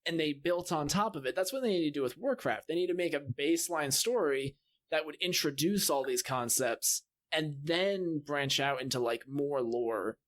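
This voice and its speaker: A man's voice: fairly high pitch, lively intonation, moderate pace, a bit bright. A man co-hosting a podcast, chatting casually and explaining his opinions with some swearing. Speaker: podcast co-host.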